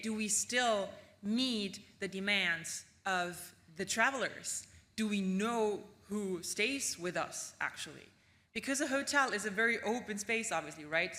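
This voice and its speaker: A woman's voice: mid-range voice, bright, forceful tone. A woman from Austria, giving a casual, chatty keynote talk with frequent ums and tag questions. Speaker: keynote speaker. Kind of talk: conference keynote presentation.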